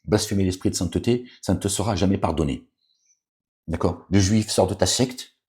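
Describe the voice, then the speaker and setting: A man's voice: deep voice, moderate pace, a little mellow. A man giving an informal, rambling sermon and reading scripture aloud, with many fillers, asides to his listeners and admonishing warnings. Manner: preachy, admonishing. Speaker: religious commentator reading scripture.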